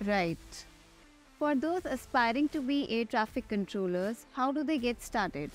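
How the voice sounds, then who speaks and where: A woman's voice: a little high, animated, a little slow. A young woman with an Indian accent, a pilot hosting a show in a scripted presenting style. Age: young adult. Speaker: host, airline pilot. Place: large glass-walled airport tower room. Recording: music under the voice. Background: background music.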